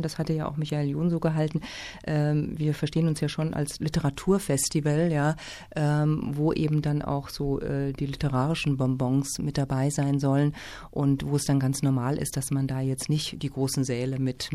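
Engaged woman festival organizer. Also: low voice. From Hesse, Germany. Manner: lively, engaged, with many fillers. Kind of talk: interview answers.

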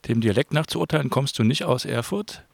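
Quick-talking male interviewer with vocal fry. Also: mid-range voice, expressive, no echo. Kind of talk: casual interview questions.

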